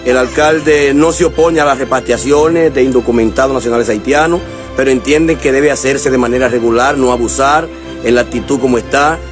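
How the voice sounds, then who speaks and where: A man's voice: medium pitch, fairly even intonation, brisk. Field reporter, man, music under. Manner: leading questions, wrapping up reports. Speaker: news reporter. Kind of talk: field news interview.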